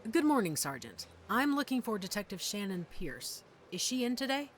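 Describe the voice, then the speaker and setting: A woman's voice: mid-range voice, very animated, normal speed, lightly acted. A woman acting a composed, inquisitive female werewolf pack leader in an audio drama. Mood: polite.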